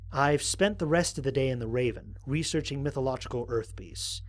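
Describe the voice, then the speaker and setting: Audio drama lead: male actor as a wary, reflective explorer, mid-pitched, measured scripted log narration. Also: lightly acted. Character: thoughtful, wary male space explorer recording mission logs. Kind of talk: scripted first-person mission log narration.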